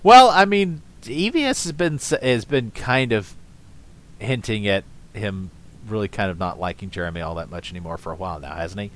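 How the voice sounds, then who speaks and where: A man's voice: lowish voice, lively intonation, normal speed, fairly bright tone. A man giving sardonic reaction commentary, mocking with frequent chuckles and trailing off into fillers. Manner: sardonic, mocking, laughs often. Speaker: commentary host.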